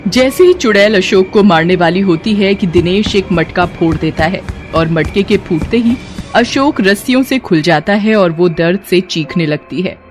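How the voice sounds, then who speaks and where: A woman's voice: mid-range voice, expressive, normal speed. Woman narrating a horror story over music.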